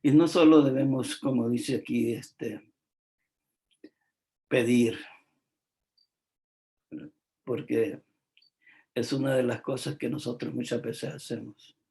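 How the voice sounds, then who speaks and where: A man preaches a devotional sermon, speaking at a slow, unhurried pace. His voice is gravelly and mellow, and he leans on emphatic repetition with frequent fillers. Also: mid-range voice. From El Salvador.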